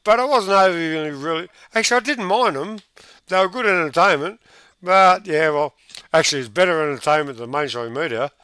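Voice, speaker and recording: A man's voice: a little high, animated, a little fast, bright, forceful tone, clean tone, no room sound. A man with a Yorkshire accent, hosting a livestream and chatting casually on a group call, laid-back and hesitant, laughing often and swearing. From Yorkshire, England. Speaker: livestream host.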